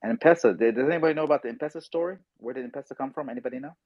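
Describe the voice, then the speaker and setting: A man's voice: mid-range voice, rapid-fire, no echo. A man hosting a casual podcast chat on an audio call, talking loosely and telling stories with acted-out voices. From South Sudan. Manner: chatty, acts out voices when telling stories. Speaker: podcast host. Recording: dry tinny phone-line sound.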